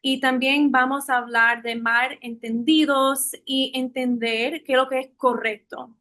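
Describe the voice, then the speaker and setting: Podcast host, woman, high bright voice, easygoing interview manner. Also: steady tone, unhurried. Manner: conversational, with fillers.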